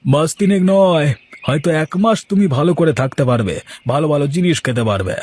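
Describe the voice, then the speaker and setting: A man's voice: on the high side, animated, a little fast, a little mellow, slightly rough. A man voicing a brazen, demanding village blackmailer in a cartoon, speaking in a pushy way. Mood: smug, demanding. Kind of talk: cartoon voice acting.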